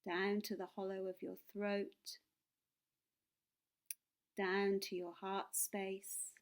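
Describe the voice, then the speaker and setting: A woman guides a meditation at a very slow pace. Her voice sits at a medium pitch and she sounds calm and soothing. She delivers short, deliberate phrases with pauses between them. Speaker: meditation and yoga teacher.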